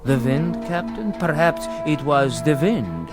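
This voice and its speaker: An eerie, slow-spoken accuser. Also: male voice, on the high side, big pitch swings, loud music behind the voice. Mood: ominous, mocking. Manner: measured, insinuating, mock-polite. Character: calm, accusing former prisoner, eerie and mocking. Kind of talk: vintage television drama acting.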